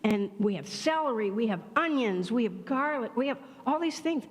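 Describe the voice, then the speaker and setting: A woman's voice: on the high side, expressive, lightly acted. A woman voicing a generous villager who eagerly lists things to add to the soup. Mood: enthusiastic. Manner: enthusiastic, listing quickly. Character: generous villager listing ingredients. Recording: long church hall reverb.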